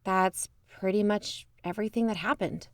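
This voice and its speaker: A woman's voice: medium pitch, moderate pace, no room sound, studio quality, lightly acted. A woman playing a level-headed, reassuring podcast co-host in a conversational audio drama. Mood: calm, reassuring. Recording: music under the voice.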